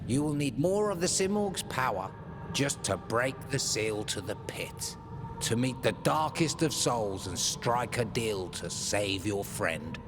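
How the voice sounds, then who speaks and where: A man's voice: medium pitch, very animated, a little slow, noisy background. An actor playing an eccentric male seer in a video game, speaking theatrically in whimsical, lilting riddles. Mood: cryptic, theatrical.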